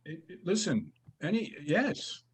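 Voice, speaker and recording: A man's voice: a little high, very animated, a little slow, husky. A man teaching an acting class, praising students and giving notes, often restarting his sentences. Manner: warm praise, stammering restarts.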